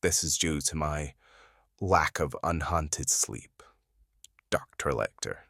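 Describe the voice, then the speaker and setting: A woman voicing a weary, guarded male FBI profiler in an audio drama, tired and deliberate, her voice pitched down to sound masculine. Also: gravelly, a bit bright, no room sound, studio quality, full character voice. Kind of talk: fan audio drama acting.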